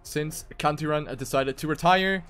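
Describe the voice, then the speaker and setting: Higher-pitched man commentating a racing game. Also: normal speed, a bit bright, smooth. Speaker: esports race commentator. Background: music.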